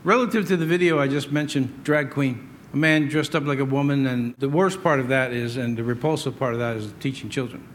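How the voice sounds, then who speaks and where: A man's voice: mid-range voice, brisk, hardly any pauses. An American man preaching a conversational sermon, emphatic, with repetition and rhetorical questions. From United States. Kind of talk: sermon, partly conversational with scripture readings.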